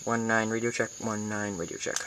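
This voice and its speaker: An American man giving a casual, hands-on video tutorial in an informal, halting way, thinking aloud as he goes. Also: on the low side, flat delivery. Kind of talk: casual hands-on how-to demonstration. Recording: noisy amateur camera recording with clicks.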